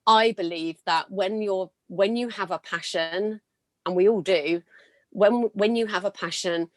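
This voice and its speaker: A woman with a slightly gravelly voice hosting a podcast. She shares stories in an earnest, encouraging way. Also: mid-range voice, unhurried, no echo, clean studio sound. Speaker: podcast host. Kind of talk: casual interview chat.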